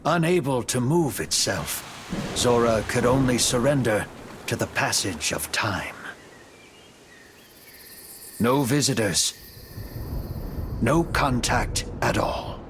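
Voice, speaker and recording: A man narrating a video game story cutscene in a measured, dramatic storytelling manner. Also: medium pitch, lively intonation, background noise. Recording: music under the voice. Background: music.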